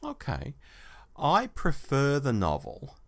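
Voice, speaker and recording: A man's voice: medium pitch, wildly swooping pitch, takes it slowly, creaky, fairly bright tone. A man hosting a podcast, talking casually as he gives his review in a discussion. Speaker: podcast host.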